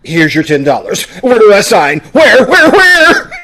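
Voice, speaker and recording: A man's voice: high voice, wildly swooping pitch, a little slow, bright, forceful tone, full character voice. A man playing a flustered, pompous older school principal who stammers and blusters, in old-time radio comedy style. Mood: smitten, flustered. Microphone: video call. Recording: amateur video-call recording.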